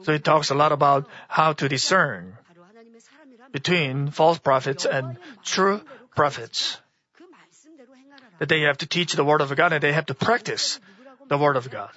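Hoarse man giving a halting sermon. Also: a little high, bright, forceful tone, lo-fi sound. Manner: halting, with frequent restarts. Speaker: church speaker. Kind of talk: sermon-style teaching.

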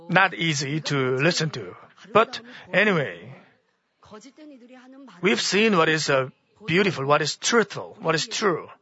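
A hoarse man preaching to a congregation, halting and restarting often. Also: fairly high pitch, bright, forceful tone, highs cut off. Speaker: church speaker. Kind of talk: sermon-style teaching.

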